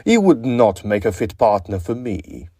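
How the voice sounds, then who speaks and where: Suave, unnerving student played by a man, theatrically animated. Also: a little slow, breathy edge, dry recording, studio quality, heavily acted. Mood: grandiose. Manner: ingratiating, high inflated talk, evasive. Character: suave, unnerving male Oxford student with grandiose ambitions. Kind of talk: period audio drama acting.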